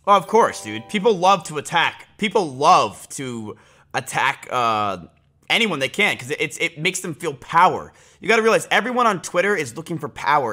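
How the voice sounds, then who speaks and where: Bright-voiced, blunt young streamer. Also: male voice, medium pitch. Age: 20s. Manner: rambling, heated and blunt, heavy filler words and restarts. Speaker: livestreamer. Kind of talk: casual live-stream reaction. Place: small indoor room, home streaming setup.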